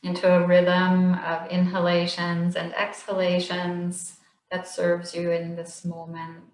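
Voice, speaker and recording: A woman slowly leading a guided body scan meditation in a gentle, soothing voice with long pauses. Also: fairly low pitch, monotone, echoey room. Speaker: meditation instructor.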